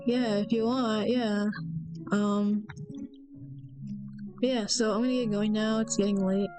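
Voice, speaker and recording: Young woman, stuffy-nosed streamer, unhurried rambling chat, mid-height voice, faint music underneath. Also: medium pitch, steady tone. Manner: rambling, hesitant, many fillers. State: stuffy nose, sore throat. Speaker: solo game developer streaming.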